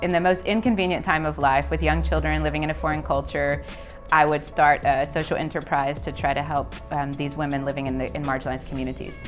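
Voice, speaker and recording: A woman in her thirties from Texas, speaking in a somewhat low voice with a lively, earnest way of telling her story. She fills her talk with casual little fillers as she explains her work, and soft music plays faintly underneath. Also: fast talker. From Texas, USA. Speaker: social enterprise founder. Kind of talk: conversational interview answers.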